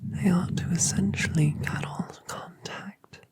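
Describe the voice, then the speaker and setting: A woman voicing a colossal female dragon mother in an ASMR roleplay, whispering softly and tenderly with a breathy voice. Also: lowish voice, steady tone, lightly acted. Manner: soft, slow, tender reassurance.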